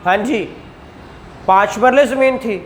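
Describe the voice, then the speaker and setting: A man's voice: very high-pitched, a little slow, slight background noise. A man, teaching a law class and explaining points with rhetorical questions and acted examples. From Pakistan. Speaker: law lecturer. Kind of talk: classroom lecture.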